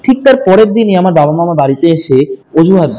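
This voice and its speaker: A man narrating a true horror story in the first person, earnest and pausing to correct himself now and then. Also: on the high side, expressive, mellow, highs cut off, a little hiss or hum. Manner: earnest, with small self-corrections.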